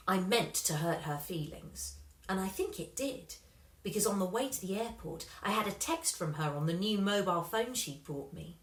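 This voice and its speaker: A woman reading a children's story aloud in an expressive, scripted narrating style. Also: mid-range voice, normal speed, reverberant.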